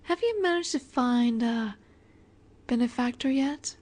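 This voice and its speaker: Soft, slow-speaking vampire seductress, acted by a woman. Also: fairly high pitch, lively intonation. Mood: coaxing, earnest. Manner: soft, breathy, measured, often dropping to a whisper. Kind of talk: intimate scripted audio roleplay.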